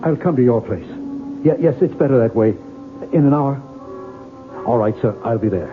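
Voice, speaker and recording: An actor playing an intense, tormented American novelist in a 1970s radio drama, speaking with fervent earnestness. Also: male voice, mid-range voice, animated, normal speed, narrow, tinny audio, over music, lightly acted. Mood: urgent, deferential. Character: tormented, intense struggling male novelist, obsessed and pleading.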